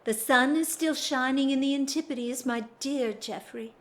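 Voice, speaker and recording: A woman acting as a sorrowful aristocratic wife in a period audio drama. Her voice is fairly high and very unhurried. She speaks formally, with love held back behind careful restraint. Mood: wistful. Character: restrained, sorrowful upper-class married lady hiding her love. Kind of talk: stage-style period radio drama acting.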